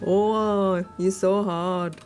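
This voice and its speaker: A woman's voice: mid-range voice, fairly even intonation, drawn-out words, darkish tone. A woman streaming a video game, reading the story text expressively and adding teasing, coarse-mouthed asides. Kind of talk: reading visual novel text aloud with joking commentary. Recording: music under the voice. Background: game music.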